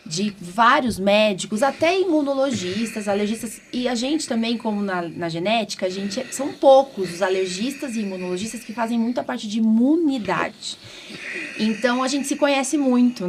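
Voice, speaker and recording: A woman's voice: on the high side, a little hiss or hum. A Brazilian woman, a doctor hosting a podcast, talking casually and informally with frequent tag questions. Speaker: podcast host and allergist doctor.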